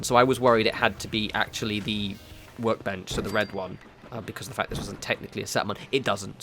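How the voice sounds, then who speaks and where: A man's voice: on the low side, fairly bright tone. Stuffy-nosed man rambling about games. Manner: rambling, self-correcting, casually swearing. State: stuffy nose from a cold. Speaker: gaming video creator. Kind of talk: casual gameplay commentary.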